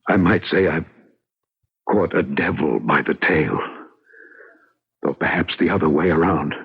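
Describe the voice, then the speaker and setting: A man playing a grave, haunted middle-aged husband in an old-time radio drama, deliberate, intense and pleading. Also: heavily acted. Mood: ominous. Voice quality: husky, deep. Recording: muffled old radio recording.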